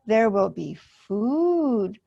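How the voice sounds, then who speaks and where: Woman voicing an eager little storybook mouse, slow-paced. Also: medium pitch, very animated, vocal fry, no echo, lo-fi sound, exaggerated voice. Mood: cheerful, encouraging. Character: small eager confident female mouse who loves camping. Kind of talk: storybook character reading.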